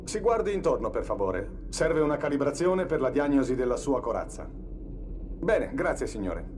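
Game role: harried, deferential cryo technician, played by a man, clipped delivery, animated pitch, noisy background. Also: moderate pace, natural voice. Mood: brisk, courteous. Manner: clipped, polite, procedural. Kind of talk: video game voice acting.

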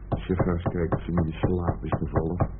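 A man's voice: deep voice, little pitch movement, brisk, lightly acted. A man playing a lazy, mocking boyfriend in a radio drama, offhand and grumbling. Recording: narrowband old radio recording, music behind.

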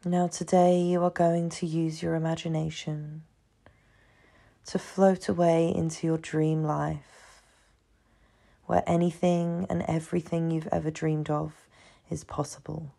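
A woman leading a guided meditation in a soothing, hushed manner, leaving long pauses between phrases. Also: low-pitched, level tone, creaky. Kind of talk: guided meditation, slow scripted narration.

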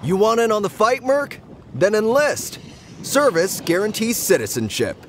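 High-pitched, zealous infantry captain. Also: male voice, huge pitch swings, normal speed, a little hiss or hum. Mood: zealous, commanding. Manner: forceful, barking orders. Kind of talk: video game voice acting.

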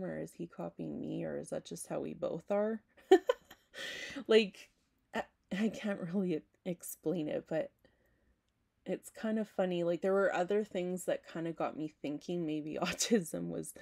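A young woman talking casually to her camera at home, hoarse, rambling with lots of "like" and self-corrections. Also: lowish voice, warm tone. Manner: rambling, self-interrupting, frequent "like". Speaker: vlogger. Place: small home room, indoors.

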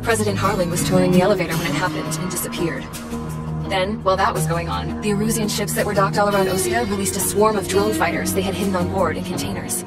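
A woman's voice: medium pitch, fairly even intonation, fast, natural voice. An actress playing a jaded, tough young woman pilot, narrating a video game story in a blunt, wry way. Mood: weary, wry. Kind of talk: first-person cinematic video game narration. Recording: loud music under the narration.

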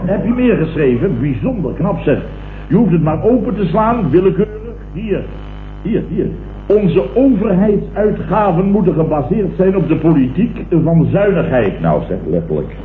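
A self-satisfied, blustering male boss in a 1960s radio comedy. He speaks in a fairly high voice, stammering and repeating himself pompously. The recording is echoey and narrow, with clear noise. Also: very animated, moderate pace. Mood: pompous enthusiasm. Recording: muffled, echoey, hissy vintage radio recording.

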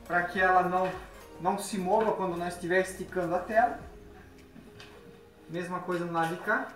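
A man's voice: sits high, fairly even intonation, moderate pace. Man explaining a job in an echoey workshop. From Brazil. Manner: plain explanatory, frequent tag questions, working while talking. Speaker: window installer. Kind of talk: hands-on workshop demonstration. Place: large workshop indoors. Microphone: phone camera nearby. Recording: echoey workshop with background music.

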